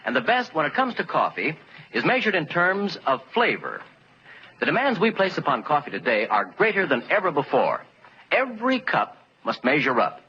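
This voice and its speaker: An American man delivering an emphatic sales pitch as a 1940s radio commercial announcer. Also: huge pitch swings, moderate pace. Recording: dull, faintly noisy 1940s radio recording.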